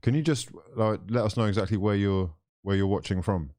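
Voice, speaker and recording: A man's voice: on the low side, a little fast, darkish tone, dry recording. A man hosting a casual football live stream, chatting loosely and reading viewer comments aloud.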